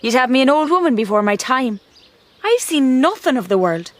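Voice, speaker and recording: A restless young Irishwoman with a teasing edge. Her voice sits high and moves with very lively intonation. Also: moderate pace. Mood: frustrated. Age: young adult. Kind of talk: radio drama acting.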